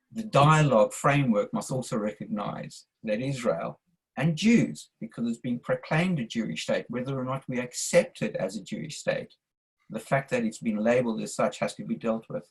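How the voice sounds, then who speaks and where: Husky older South African man. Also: medium pitch, poor audio. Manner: earnest, measured, advising. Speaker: audience member asking a question. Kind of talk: online discussion statement. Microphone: video call.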